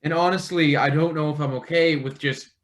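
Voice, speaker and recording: An actor playing an exasperated, desperate young intern in a theatre table read, halting and protesting with some sarcasm. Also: medium pitch, fairly even intonation, a little hiss or hum, close to an everyday voice.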